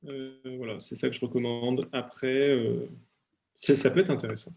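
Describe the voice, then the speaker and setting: A young man talks over a video call, an author fielding questions. He speaks in halting bursts, often stopping to restart a thought, at an ordinary middle pitch. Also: little pitch movement, creaky, a little mellow, slight background noise. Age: young adult. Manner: hesitant, many fillers and restarts. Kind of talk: talk with audience questions, then reading aloud. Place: at home for an online talk.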